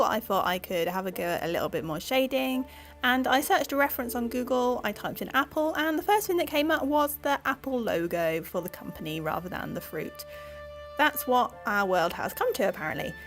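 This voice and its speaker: Woman, art vlog host with a cold, gravelly and croaky, chatty self-deprecating narration, soft music underneath. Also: on the high side, animated, a little fast. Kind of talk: casual voice-over narration.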